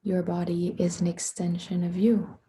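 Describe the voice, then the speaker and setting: A woman leading a guided meditation in a hushed, soothing, unhurried manner, with long pauses between phrases and a soft, breathy voice. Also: fairly low pitch, little pitch movement, a little hiss or hum.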